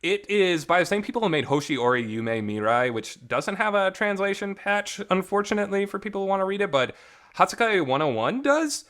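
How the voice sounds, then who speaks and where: A man's voice: sits high, very animated, brisk, fairly bright tone. A man giving a casual, unscripted review in a blunt, rambling way, repeating words for emphasis and swearing. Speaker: reviewer, vlog host. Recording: reverberant home recording.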